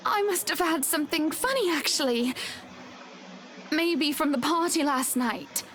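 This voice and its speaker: Young wife, high voice, proud, guarded, commanding, game dialogue, faint noise behind. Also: female voice, animated, a little slow, fairly bright tone. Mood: uneasy. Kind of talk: scripted visual novel voice acting.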